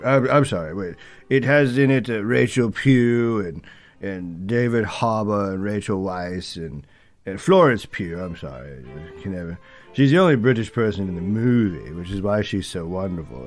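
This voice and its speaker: A low-pitched, doddering old British gentleman, acted by a man delivers a comic film review over music. He is opinionated yet easily muddled, halting, losing his thread and muttering asides as he rambles. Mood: muddled, forgetful.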